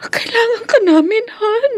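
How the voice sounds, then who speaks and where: A woman's voice: extremely high pitch, animated, measured pace. A woman acting a hurt, pleading wife and mother in a Filipino radio drama, emotional and imploring. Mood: tearful, pleading.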